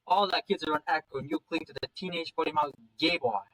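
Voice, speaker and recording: A man's voice: on the high side, fast talker, fairly bright tone, voice pushed up, poor audio. A man voicing a stern, domineering animal father in a story, scolding and accusatory. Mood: accusing.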